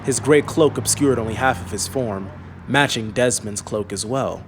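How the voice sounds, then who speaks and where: A man narrating a scripted fantasy audiobook in a measured, serious way. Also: mid-range voice, slight background noise.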